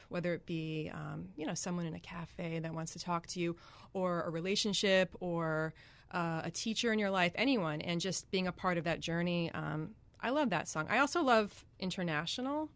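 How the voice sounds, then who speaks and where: Lower-voiced woman, chatty radio guest. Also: no room sound. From Greenwich, Connecticut. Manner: chatty, laughs easily, many ums. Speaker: singer-songwriter guest. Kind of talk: radio interview answers. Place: radio studio.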